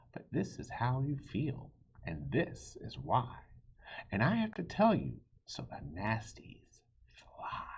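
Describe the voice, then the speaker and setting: A man reading a children's story aloud in a theatrical way, with a breathy, husky voice that dips into hushed tones. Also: fairly high pitch, highs cut off. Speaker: children's book reader.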